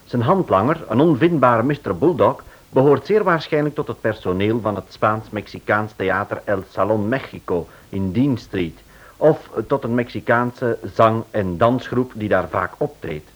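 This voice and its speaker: A man narrating and announcing a radio detective serial from a script in a measured, dramatic way. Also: on the low side, slightly rough. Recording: dull, lo-fi old radio recording.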